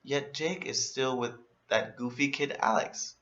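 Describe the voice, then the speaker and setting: A man reading a children's story aloud in an animated, expressive way, as a storyteller. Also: mid-range voice, unhurried, muffled recording. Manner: expressive, acts out emphasis and exclamations.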